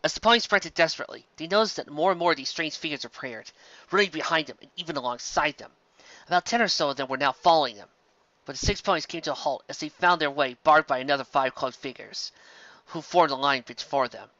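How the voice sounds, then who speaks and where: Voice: medium pitch, fast talker, sharp tone, no room sound, highs cut off. A narrator reading a fantasy story aloud in a dramatic scripted style.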